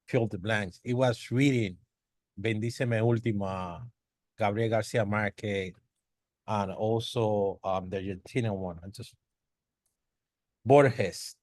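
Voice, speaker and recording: A man from the Dominican Republic sharing his experience in a discussion, halting, with many restarts. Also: fairly low pitch, dry recording. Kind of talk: discussion contribution.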